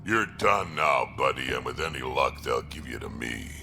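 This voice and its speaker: An actor playing a gruff, aggressive alien clan warrior in a video game, blunt and menacing. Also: male voice, animated, moderate pace, sharp tone, slight background noise, exaggerated voice. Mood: menacing relish. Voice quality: deep, gravelly.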